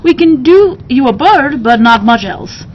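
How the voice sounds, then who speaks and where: A woman's voice: high voice, big pitch swings, unhurried, dull audio, a little hiss or hum, voice pushed up. A woman voicing a chatty, protective male bird shop keeper from a storybook, with folksy, ungrammatical speech. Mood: businesslike.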